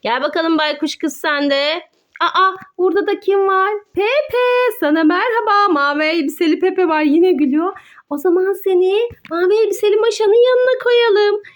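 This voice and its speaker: A woman's voice: very high voice, moderate pace. A woman presenting toys in a playful way to viewers and her child, with exclamations and simple questions in child-directed talk. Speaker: mother presenting children's toy video with her son.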